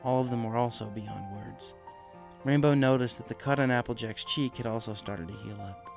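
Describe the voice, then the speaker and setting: A man's voice: mid-range voice, moderate pace, gravelly. A man reading scripted story narration as the narrator of a fan fiction audiobook. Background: music.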